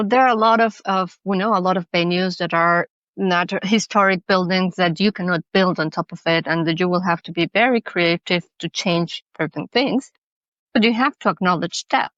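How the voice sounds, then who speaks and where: A woman's voice: on the low side, a bit bright, no echo, muffled recording. Woman, earnest and dry. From Mexico. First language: Spanish. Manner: earnest, thoughtful, with many restarts. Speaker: guest, accessibility consultant. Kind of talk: podcast interview.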